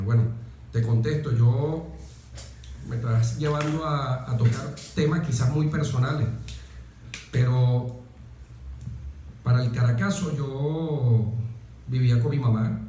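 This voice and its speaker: A man with a mellow voice and a Venezuelan accent speaks in a strongly reverberant room. He talks in a deliberate, oratorical way, leaning on key phrases and repeating them, like a military officer being interviewed. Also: medium pitch, slight background noise. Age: 40s. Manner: deliberate, emphatic with pauses and repetition. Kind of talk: reflective, oratorical interview talk. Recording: very echoey room.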